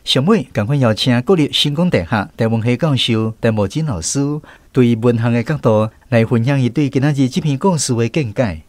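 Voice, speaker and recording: A man's voice: medium pitch, brisk, hardly any pauses. A man with a Taiwanese accent hosting radio, delivering a scripted segment introduction. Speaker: radio host.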